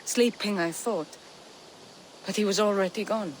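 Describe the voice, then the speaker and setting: An actress playing a gentle, doubtful young Viking apprentice in a video game cutscene, with a soft, halting, earnest delivery. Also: female voice, medium pitch, slight background noise, natural voice, poor audio. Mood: grieving, unsure.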